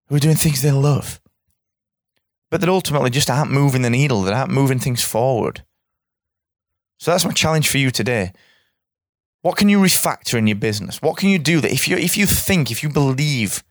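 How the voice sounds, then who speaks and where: A man with a croaky voice, hosting a solo podcast in a straight-talking, energetic way and repeating phrases for emphasis. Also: mid-range voice, no room sound, studio quality. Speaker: podcast host.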